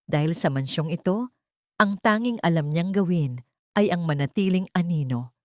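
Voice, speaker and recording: A woman narrating a dramatic romance audiobook in a measured, solemn storytelling manner. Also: on the low side, darkish tone, no room sound, studio quality.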